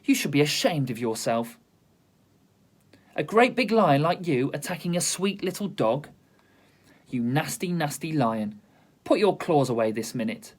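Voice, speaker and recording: A man reading aloud and voicing a protective young girl who scolds loudly, in a storybook reading. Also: sing-song, measured pace, pauses often. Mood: scolding. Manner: scolding, high and loud.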